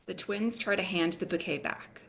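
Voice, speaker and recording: A woman's voice: on the low side, moderate pace, creaky, fairly bright tone. A woman reading the scene directions of a script as narrator at a table read.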